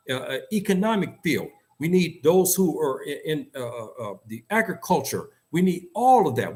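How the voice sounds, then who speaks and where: Insistent American man speaking his mind. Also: a little high. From United States. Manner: emphatic, insistent, repeats phrases for stress. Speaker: host and commentator. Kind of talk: opinionated, unscripted talk.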